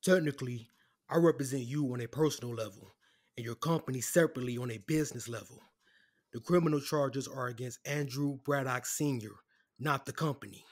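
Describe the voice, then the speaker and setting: A man playing a composed, apologetic corporate lawyer in an audio drama, speaking in a measured, formal way. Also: mid-range voice, close to an everyday voice. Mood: firm, formal. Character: composed, apologetic corporate defense lawyer delivering bad news.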